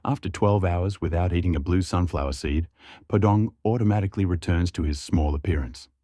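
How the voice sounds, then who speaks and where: A man's voice: deep voice, brisk, mellow, dry recording, clean studio sound. A man narrating a scripted fiction audiobook.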